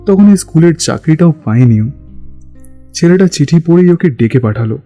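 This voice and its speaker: A man's voice: a little high, expressive, normal speed, dark tone. An actor playing a middle-aged father who confides earnestly and sadly about his grown daughter in an audio drama. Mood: reminiscing. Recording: music under the voice.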